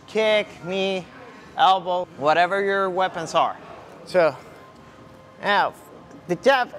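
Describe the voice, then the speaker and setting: A man's voice: high voice, fairly bright tone. A young man working as a kickboxing coach, giving step-by-step instruction while demonstrating punches. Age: young adult. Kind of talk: instructional demonstration with short cues. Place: large indoor MMA gym. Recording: clearly audible background ambience noise.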